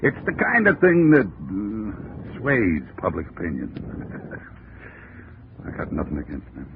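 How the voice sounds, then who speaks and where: An oily, chuckling gangster boss. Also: male voice, low voice, wildly swooping pitch, exaggerated voice. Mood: mocking. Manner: slow, oily, mocking chuckles. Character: smooth menacing male gangster boss, chuckling. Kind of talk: 1940s radio acting. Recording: tinny scratchy old radio broadcast.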